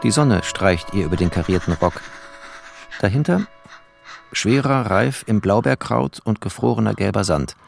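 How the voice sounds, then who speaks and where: A man's voice: fairly low pitch, moderate pace, vocal fry, music in the background. A man playing a bookish, wry war refugee who narrates a literary radio play in clipped, poetic phrases. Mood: wry, observant.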